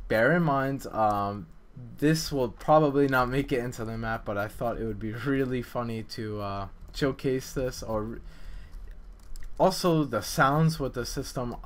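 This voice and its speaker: A man giving casual, hesitant gameplay commentary in a rambling, self-deprecating way, as the developer of a game map. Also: mid-range voice. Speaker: map developer narrating a dev vlog.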